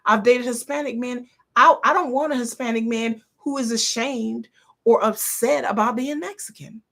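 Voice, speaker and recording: A woman's voice: fairly high pitch, moderate pace, gravelly, a bit bright. A woman from the northern United States, talking in a blunt, rambling, profane monologue as host of a commentary channel. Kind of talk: casual opinion monologue.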